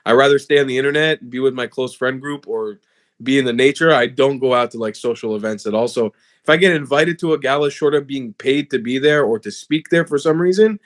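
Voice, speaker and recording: A man's voice: mid-range voice, a little fast. A young man from the US whose first language is Hebrew, talking candidly and casually with loose fillers and some swearing. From USA (South Florida). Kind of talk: casual chat.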